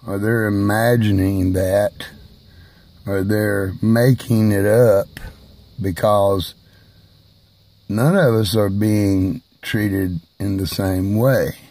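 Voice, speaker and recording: A man speaking slowly and haltingly in a personal video monologue, who is hard of hearing and raises his voice at times. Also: on the low side, steady tone, a little mellow. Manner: slow, halting, emphatic delivery.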